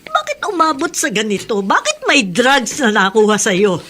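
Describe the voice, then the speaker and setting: Worried filipino mom in a radio soap, scolds and pleads, voice swings up and down a lot. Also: female voice, a little high, very animated, moderate pace, background noise. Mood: distraught. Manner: plaintive, scolding. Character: worried, long-suffering mother of two grown sons. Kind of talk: Filipino radio drama acting.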